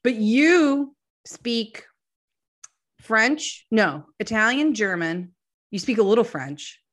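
A woman's voice: a little high, expressive, slow pace, fairly bright tone. A woman hosting a podcast interview, friendly and teasing, laughing easily and affirming her guest. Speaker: podcast host. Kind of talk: casual interview chat.

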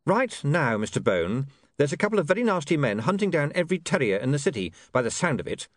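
A man's voice: fairly high pitch, big pitch swings, fast, no room sound, clean studio sound, pitched above the performer's own voice. A man doing an earnest, prim young newspaperman. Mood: insistent.

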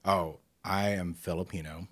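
A man's voice: deep voice, fairly even intonation, measured pace, a bit bright. A man in his thirties, a podcast co-host with dry, teasing humor in casual chat. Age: 30s.

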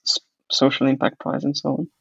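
Young man, interview guest, very gravelly, reflective, dry lo-fi sound. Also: mid-range voice, little pitch movement, brisk, fairly bright tone, dry recording. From Hungary. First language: Hungarian. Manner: reflective, restarts and fillers as he recalls. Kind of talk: casual interview.

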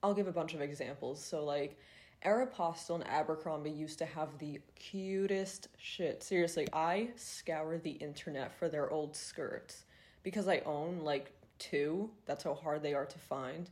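A young woman in her twenties with a low voice talks through a solo podcast. She sounds stuffy and nasal, rambling casually with plenty of "like"s and the odd curse word. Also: unhurried, a little mellow. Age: 20s. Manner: chatty, filler-heavy, swears freely. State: congested, nasal. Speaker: podcast host.

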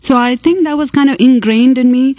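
A woman from Kerala whose first language is Malayalam, a cardiologist answering interview questions in careful, measured sentences. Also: high voice, warm tone, dry recording. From Kerala, India. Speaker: cardiologist guest. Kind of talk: radio interview.

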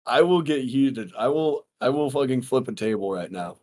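A hoarse young man co-hosting a casual podcast, loud, swearing and playfully boastful. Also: medium pitch, expressive, normal speed, slight background noise. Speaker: podcast co-host. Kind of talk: casual banter between friends.

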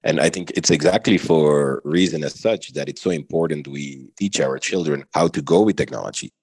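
Very deep-voiced Belgian man, dry sound. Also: a little mellow, breathy edge, no room sound. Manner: measured, thoughtful, often adds "right?". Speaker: podcast guest. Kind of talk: conversational interview answers.

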